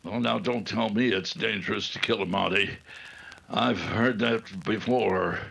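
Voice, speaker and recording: A man playing a scheming, vengeful gang leader in an old-time radio adventure, with halting, deliberate speech. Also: fairly low pitch, gravelly, a bit bright, husky, full character voice. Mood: vengeful, boastful.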